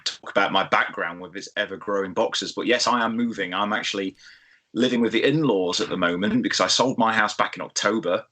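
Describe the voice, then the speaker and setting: A man from England, a guest guitarist on a video-call podcast, easygoing and quick to laugh. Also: lowish voice, sharp tone. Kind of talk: casual video-call interview. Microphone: webcam on a video call.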